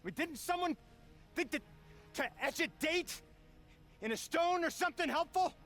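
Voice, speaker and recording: An actor voicing a bewildered man displaced centuries from his own time, halting and searching for words, in a video game cutscene. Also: very high-pitched, soft background music, full character voice. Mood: disbelieving. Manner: anguished and halting when grieving, folksy and rambling when relaxed.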